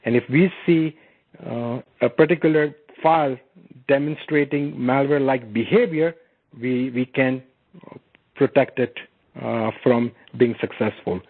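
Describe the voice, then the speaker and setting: A man giving an explanatory product presentation on a conference call, speaking haltingly with frequent filled pauses. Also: mid-range voice, husky. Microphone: conference call phone line. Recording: muffled conference phone line.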